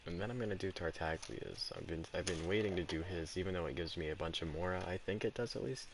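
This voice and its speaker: A man's voice: sits low, fast talker, a little hiss or hum. A man giving casual, rambling, offhand commentary as he streams a video game. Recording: loud game music behind.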